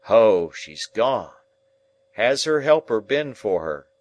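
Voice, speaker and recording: A man voicing a dying man raving in terror at a ghost, shrill, frantic and pleading. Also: big pitch swings, takes it slowly, dry recording, heavily acted. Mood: weak, clinging.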